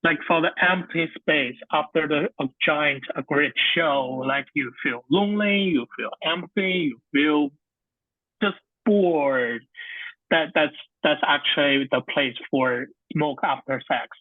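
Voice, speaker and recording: A man asks questions over a thin, phone-like line and keeps his remarks short and easygoing. His voice sits a little higher than average. The sound is dry, with no room around it. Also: a little slow, no room sound. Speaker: interviewer and comedy promoter. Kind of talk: casual interview. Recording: tinny phone-like call line.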